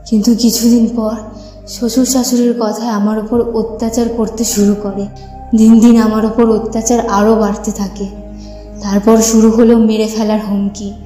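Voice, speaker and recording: Wronged young female ghost played by a woman, cartoon horror story: plaintive, solemn, fairly high and even-toned, drenched in reverb over music. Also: lightly acted. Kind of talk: dramatic audio story acting for cartoon. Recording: echoey, long reverb, music behind.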